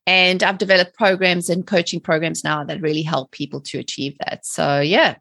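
A woman in her forties with a South African accent, chatting lively as an interview guest. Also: lowish voice, moderate pace. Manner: lively, chatty, laughs often. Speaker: guest, mindset trainer. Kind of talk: casual interview chat.

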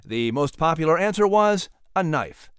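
A man's voice: on the high side, sing-song, normal speed, noisy background. A man hosting and narrating a scripted animal story as a storyteller. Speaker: host and narrator.

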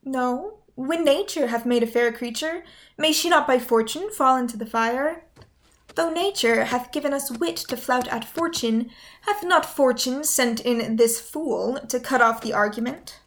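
A loving, playful young noblewoman, acted by a woman in a Shakespearean radio drama. Her voice sits very high, and she speaks articulately, with a tender, coaxing manner. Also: a little slow. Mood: playful, witty. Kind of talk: Shakespearean radio play acting.

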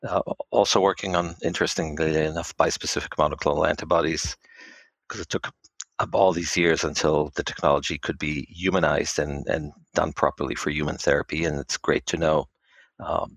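A middle-aged man from Israel, a biotech quality expert, answering podcast interview questions in a measured, halting way with many fillers. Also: a bass voice, steady tone, vocal fry, a bit bright, no room sound.

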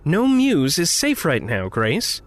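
A man's voice: fairly high pitch, huge pitch swings, takes it slowly, full character voice. A man playing an earnest, idealistic activist who muses about nature in an audio drama. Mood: challenging. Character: Liam, idealistic, nature-loving anti-capitalist man. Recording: echoey, reverberant, faint background noise.

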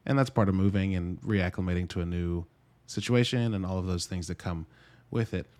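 A man with a fairly low voice hosts a solo show about his reviewing. He speaks informally and conversationally, straight to listeners. His delivery rambles, and he breaks into his own sentences with asides. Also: brisk, a little mellow. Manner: rambling, conversational, self-interrupting. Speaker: podcast host and reviewer of films and games. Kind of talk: casual solo talk to listeners.

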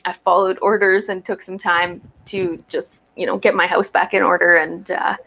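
A woman's voice: fairly low pitch, level tone, normal speed, creaky, a bit bright, rough recording. A woman representing an industry council, talking casually on a video call and laughing often. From Canada. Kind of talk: casual chat. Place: home room with green screen.

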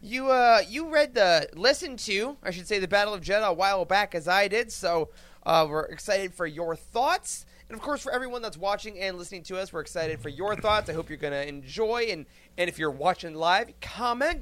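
A man hosts a podcast as casual conversation, joking and effusive. His pitch moves up and down in a very lively way. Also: brisk. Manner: jokey, effusive. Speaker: podcast host. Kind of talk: casual upbeat chat.

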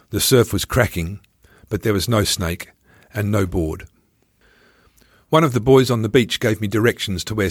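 Low-voiced man narrating, close dry sound. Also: no room sound. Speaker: author reading own memoir. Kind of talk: scripted first-person travel storytelling, narration.

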